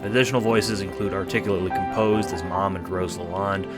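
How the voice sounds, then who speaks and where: A man's voice: deep voice, steady tone, a little fast. A man narrating a scripted second-person audiobook in a dramatic storytelling manner. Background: music.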